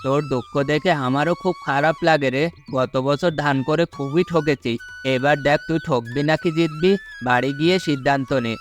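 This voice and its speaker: A man's voice: on the high side, moderate pace, natural voice. A man playing a sly, well-off village farmer in a cartoon folk tale, persuasive and condescending as he feigns kindness. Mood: coaxing. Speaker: cartoon voice actor. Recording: music under the voice.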